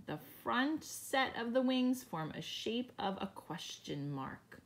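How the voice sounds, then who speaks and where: A woman teacher reading a picture book aloud to young children, explaining each page in a gentle way. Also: fairly high pitch, lively intonation, takes it slowly, slight background noise.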